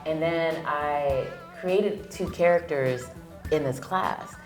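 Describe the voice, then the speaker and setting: A woman actress and producer, chatting as an interview guest, telling stories with lively acted-out quotes. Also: low voice, slow pace, soft background music, rough recording. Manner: animated storyteller who acts out quoted lines. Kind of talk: casual interview chat.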